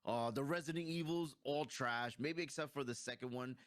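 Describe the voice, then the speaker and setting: A man's voice: medium pitch, normal speed, fairly bright tone, dry recording, studio quality. A man co-hosting a casual movie review, chatting in a blunt, opinionated, slangy way. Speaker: co-host of a video game movie review. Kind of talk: casual review chat between friends.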